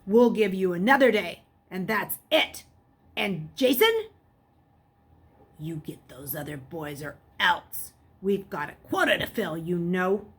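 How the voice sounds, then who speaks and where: Woman playing a forceful, angry male police enforcer. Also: lowish voice, animated, takes it slowly, slightly rough, exaggerated voice. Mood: gruff, menacing. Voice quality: forceful, growling. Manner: bullying, yelling. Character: angry, threatening man, a brutal police enforcer.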